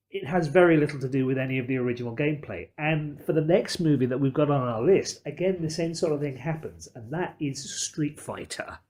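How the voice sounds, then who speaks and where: A podcast host in casual discussion, speaking in a measured, carefully qualified, wordy way. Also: medium pitch.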